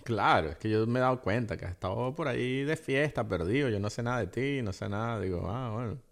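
A man, co-hosting a casual film podcast, relaxed and joking, often answering with soft interjections. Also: mid-range voice, brisk, gravelly. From Venezuela. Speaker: podcast co-host.

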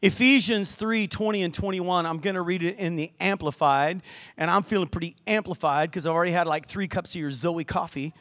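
An older man preaching a lively sermon in church, mixing scripture with jokes and stories. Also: sits high, brisk, faint music behind. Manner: lively, joking preacher with asides to the crowd. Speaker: pastor. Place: church hall with balcony.

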